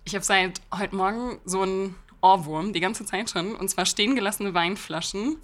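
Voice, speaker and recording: A woman hosting a podcast, reading a scripted introduction and then chatting casually with her guest, cheerful and quick to laugh. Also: medium pitch, fairly bright tone. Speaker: podcast host.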